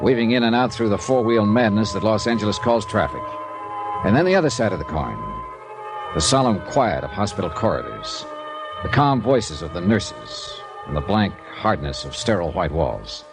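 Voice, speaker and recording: An actor with an American accent playing a wry, tough insurance investigator in a 1950s radio detective drama, with dry, clipped, wisecracking delivery. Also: male voice, lowish voice, very animated, moderate pace, rough recording. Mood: somber, hushed. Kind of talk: 1950s radio detective drama acting, scripted first-person narration. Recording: 1950s radio recording with music.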